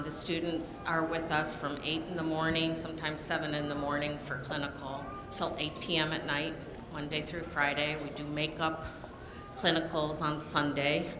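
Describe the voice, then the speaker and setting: An older woman, a nursing program director, giving a measured panel talk with frequent pauses. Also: sits low. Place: lecture hall. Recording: echoey hall, audible room noise.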